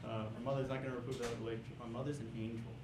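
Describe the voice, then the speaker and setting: A man's voice: mid-range voice, rapid-fire. Young male stand-up in echoey club. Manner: hypes the crowd, then deadpan setups. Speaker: stand-up comedian. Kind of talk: stand-up comedy set. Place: comedy club stage. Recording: echoey club with crowd noise.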